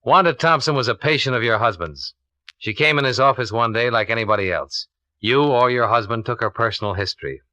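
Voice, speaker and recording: An actor playing a cool, relentless American insurance investigator, blunt and probing, in a 1950s radio drama. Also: male voice, medium pitch, fairly quick, pushed and bright, no echo, natural voice. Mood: stern, accusing. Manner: clipped, probing, blunt interrogation. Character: hard-boiled, methodical male insurance investigator, relentless and cool. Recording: dull vintage radio recording.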